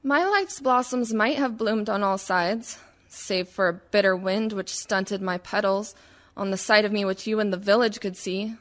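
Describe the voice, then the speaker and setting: A woman's voice: medium pitch, normal speed, fairly bright tone, natural voice. A woman playing a proud, wronged village woman, solemn and declamatory in a dramatic radio verse monologue. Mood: sorrowful. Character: proud dead village woman protesting a stunted life.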